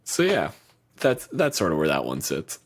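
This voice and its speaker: A man's voice: a bass voice, wildly swooping pitch, normal speed, a little hiss or hum. A man co-hosting a podcast, chatting casually in a loose, profane, rambling way with frequent restarts. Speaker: podcast co-host. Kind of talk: casual chat.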